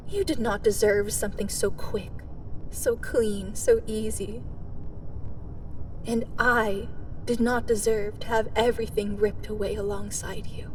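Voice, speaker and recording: A woman playing an embittered immortal hero, obsessed with her fated enemy, in a dramatic dark fantasy monologue that is brooding and often drops into breathy whispers. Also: a little high, recorded from a distance, a little hiss or hum, poor audio. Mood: bitter.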